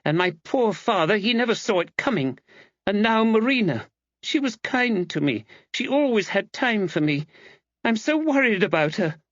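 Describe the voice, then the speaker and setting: A man's voice: sits high, sing-song, a bit bright, no room sound, lo-fi sound, pitched above the performer's own voice. A man voicing an upset young woman in an audiobook, earnest, insistent and suspicious. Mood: urgent, worried. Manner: emphatic, earnest, rushed. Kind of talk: audiobook character dialogue.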